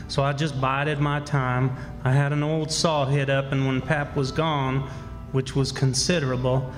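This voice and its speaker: Guy as rustic runaway boy telling his story, radio play, bit high voice, hall reverb. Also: lightly acted. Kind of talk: first-person radio drama narration. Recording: live stage hall with reverb.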